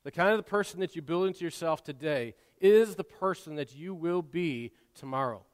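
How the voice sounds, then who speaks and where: A man preaching a sermon, swinging between quiet asides and loud, impassioned exhortation, often repeating and restarting words. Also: on the high side, normal speed, clean tone. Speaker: pastor. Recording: long hall reverb.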